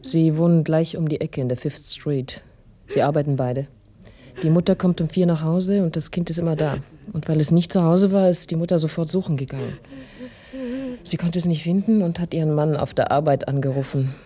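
An actress as a female detective in a radio play. Her voice is low and dark. She reports in a plain, sober, matter-of-fact manner. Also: steady tone, brisk, close to an everyday voice. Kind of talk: radio drama acting.

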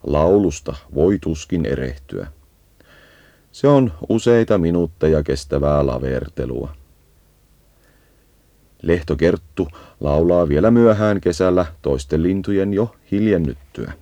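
Male narrator for a nature guide: very low voice, dark and soft-edged, measured explanatory read. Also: lively intonation, no echo. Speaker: narrator of an educational birdsong guide. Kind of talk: scripted educational nature narration.

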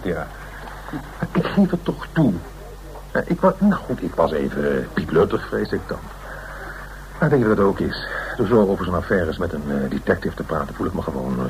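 A man's voice: lowish voice, a little mellow, rough recording. A man playing a flustered, easily offended legal adviser in a radio play, hesitant and stammering. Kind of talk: naturalistic Dutch radio play acting. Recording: radio play recording with faint noise.

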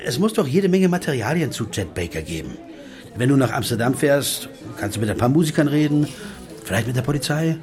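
A man's voice: mid-range voice, very animated, a little fast, darkish tone, faint music behind, lightly acted. An actor playing a weary male American jazz pianist and former private eye, who narrates in a guarded, dry way. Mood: reflective. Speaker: narrator and main character. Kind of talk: radio play acting.

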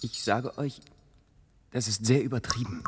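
A man's voice: medium pitch, a little slow, husky. An actor playing a battered young prisoner in a German radio drama, sarcastic and weary in his delivery. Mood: bitter, pained. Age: young adult. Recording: reverberant, very noisy clicking jangling scene.

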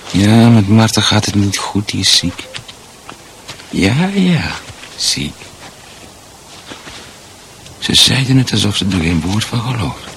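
An actor playing a bewildered, meekly polite husband losing his grip in a radio drama, muttering haltingly and repeating himself. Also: fairly low pitch, animated, fairly bright tone, a little hiss or hum, exaggerated voice, low-quality recording. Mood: muttering, puzzled.

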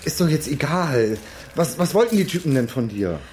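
A man's voice: fairly high pitch, very animated, normal speed, a little mellow, slight background noise. An actor playing a hapless, nervous, whiny young man out of his depth in a radio crime comedy. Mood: evasive. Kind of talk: naturalistic German radio crime comedy acting.

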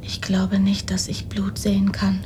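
Radio play role: actress as naive, self-doubting girl in her youth, medium pitch, hesitant, faint rumble behind. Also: completely flat delivery, unhurried, close to an everyday voice. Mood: doubtful, meek. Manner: meek, hesitant, terse. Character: timid, naive, doubting poor young woman. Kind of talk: radio drama acting.

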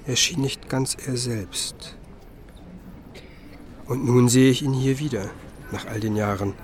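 An actor playing a reflective musicologist who narrates his memories in a measured, understated radio drama style. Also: medium pitch, steady tone, a little hiss or hum, close to an everyday voice. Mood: wistful.

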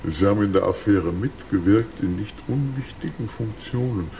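A man's voice: on the low side, normal speed, vocal fry, dark tone, slight background noise. An actor playing an ancient, gleefully cruel billionaire villain in a radio crime drama, smugly savoring his words. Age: very old.

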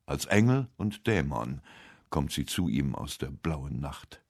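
Male narrator for scripted radio essay, very low register, dry sound. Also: sing-song, takes it slowly, creaky, a little mellow, slightly rough, no room sound.

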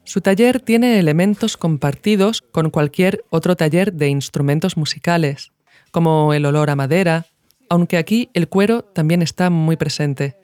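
A woman's voice: low voice, darkish tone, no echo. Woman narrating, close and dry. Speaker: podcast narrator. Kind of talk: scripted documentary narration.

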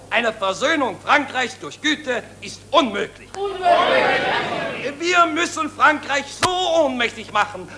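A man acting a fanatical German nationalist orator, declaiming stridently at a rally in a 1940s radio play. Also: very high-pitched. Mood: pompous. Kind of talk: 1940s radio drama political speech. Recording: noisy 1940s radio recording, crowd behind.

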